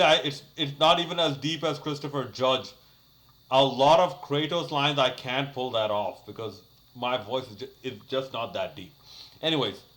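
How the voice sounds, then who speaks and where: A man's voice: fairly high pitch, a bit bright. A young man talking casually to his channel, giving a rambling review of a cricket match full of fillers and restarts. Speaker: cricket YouTuber.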